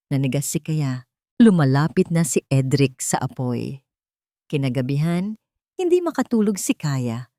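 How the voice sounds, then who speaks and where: A woman narrating a scripted romance story in a steady, measured reading style. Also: lowish voice, no echo, clean studio sound.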